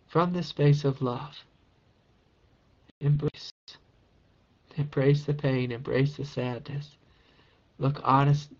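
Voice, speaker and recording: A man with a raspy voice, a meditation teacher answering a question in a calm, encouraging spiritual talk. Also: mid-range voice, muffled recording. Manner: gentle, calm and encouraging, with soft laughs.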